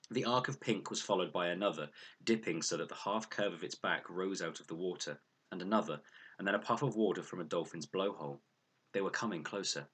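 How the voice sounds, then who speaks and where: A man narrates a storybook adventure expressively. His voice sits low, with a bright, forceful tone and a husky grain. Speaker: audiobook reader.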